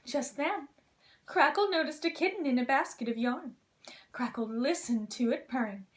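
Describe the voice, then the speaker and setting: A woman reading a children's storybook aloud in an expressive, gentle princess persona. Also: high voice, normal speed, background noise.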